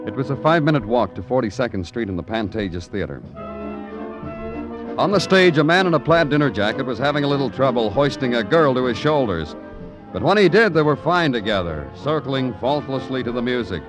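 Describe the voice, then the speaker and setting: An actor playing a wry, world-weary police detective in an old radio drama, speaking in a clipped, deadpan way. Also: male voice, medium pitch, brisk, music in the background, close to an everyday voice. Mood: hard-boiled, grim. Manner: clipped, deadpan, hard-boiled. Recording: vintage radio recording with crowd sounds.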